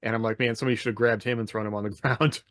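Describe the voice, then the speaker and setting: A man's voice: fairly low pitch, little pitch movement, very fast, fairly bright tone, no echo. A middle-aged man in a casual podcast discussion, thinking aloud in a loosely structured way. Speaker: podcast co-host. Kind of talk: casual panel discussion of writing. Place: indoor room on a video call.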